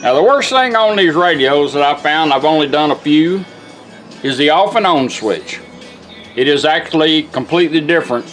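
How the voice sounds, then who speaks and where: A man's voice: a little high, lively intonation, normal speed, a bit bright. Folksy man demonstrating over music. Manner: folksy, plain-spoken, instructional. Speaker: radio restorer demonstrating a product. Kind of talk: casual hands-on product demonstration. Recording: music playing behind the voice. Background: music from a radio.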